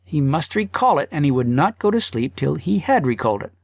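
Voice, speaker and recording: Male audiobook narrator, slightly high-pitched, very animated and theatrical, close dry sound. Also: fairly quick, creaky, no echo. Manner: theatrical, dramatizing thoughts and dialogue. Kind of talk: expressive scripted narration of a humorous story.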